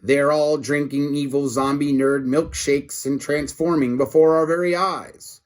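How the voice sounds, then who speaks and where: A man's voice: a little high, steady tone, unhurried, lightly acted. A man voicing a gloating, scheming alien villain in a storybook, with a sneering, menacing manner.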